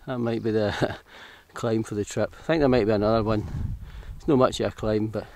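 A man's voice: fairly low pitch, steady tone, moderate pace, a little mellow, no room sound. A Scottish man vlogging while he hikes along hill paths, chatty and rambling, correcting himself as he goes. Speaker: hiking vlogger. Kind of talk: casual walking commentary.

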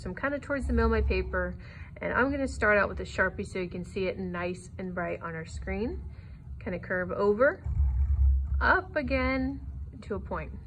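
Woman art teacher walking thru a drawing step by step, easygoing, medium pitch, bit of noise behind her. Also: creaky, fairly bright tone, low-quality recording. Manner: encouraging, easygoing instruction.

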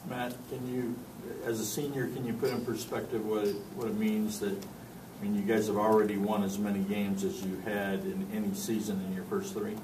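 A male reporter asks players leading questions in a low, easygoing voice. He is heard from a distance in an echoey room with steady background noise. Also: normal speed, few pauses. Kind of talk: press conference questions.

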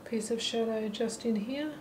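A middle-aged woman narrating a watercolour lesson while she paints, thinking aloud in a relaxed way. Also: on the high side, moderate pace, slight background noise, poor audio. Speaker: watercolour teacher. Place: holiday apartment, at a table indoors. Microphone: camera mic above the table.

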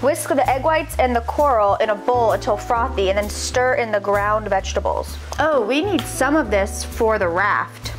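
A woman's voice: fairly high pitch, expressive, normal speed, few pauses, music in the background. A woman in her thirties chatting casually and playfully as she co-hosts a home cooking video, with a sarcastic streak. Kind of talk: casual banter while cooking. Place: home kitchen.

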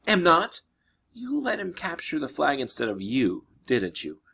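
A man voicing a sharp, exasperated adolescent girl in a storybook, snappy and indignant. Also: medium pitch, wildly swooping pitch, normal speed, vocal fry, slight background noise, heavily acted.

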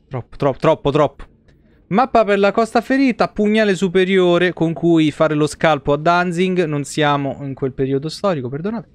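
A man giving casual, foul-mouthed and jokey live game commentary in slangy speech. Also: fairly high pitch. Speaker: video game streamer.

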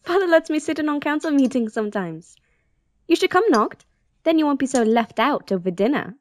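A woman's voice: high-pitched, very animated, brisk, no room sound. A woman playing an earnest, thoughtful young princess in an audio drama, polite and articulate. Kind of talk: audio drama acting and first-person narration.

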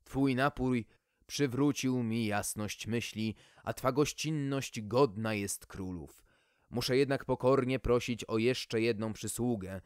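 A man's voice: medium pitch, slow pace, smooth, no echo, clean studio sound, natural voice. A man voicing a dim, bewildered knight errant in a storybook, pompously polite and hesitant. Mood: courtly.

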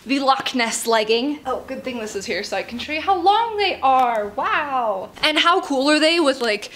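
A woman's voice: sits high, big pitch swings, normal speed, a bit bright, a little hiss or hum. A young woman in her twenties chatting through a casual activewear try-on review, upbeat and full of "like" fillers and little laughs. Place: bedroom closet with stacked clothes shelves.

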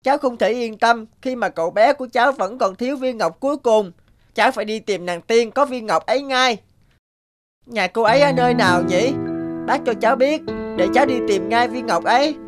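A woman's voice: a little high, fairly quick, natural voice. A woman voicing an eager, impatient young male guardian spirit in a cartoon, speaking earnestly and politely. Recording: studio voice-over with loud music.

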